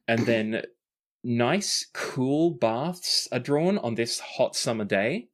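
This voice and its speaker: Game master type, medium pitched voice, narrating a tabletop rpg pretty casually. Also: animated, takes it slowly, a little hiss or hum.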